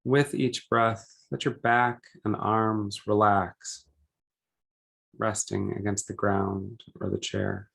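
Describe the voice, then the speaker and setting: A man leading a guided meditation, speaking gently and deliberately in short phrases with pauses between them. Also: lowish voice, fairly even intonation, vocal fry, breathy edge.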